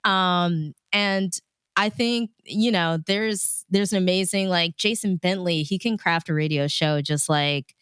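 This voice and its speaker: A woman's voice: lowish voice, a little slow, fairly bright tone, clear, resonant tone, no room sound, studio quality. A woman speaking as a radio DJ being interviewed, telling stories in casual, conversational chat. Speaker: radio DJ and show host, interview guest.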